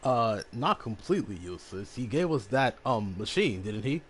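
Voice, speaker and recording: A man streaming a game, reacting casually and haltingly to the story. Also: on the low side, big pitch swings, husky. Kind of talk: casual reaction while playing.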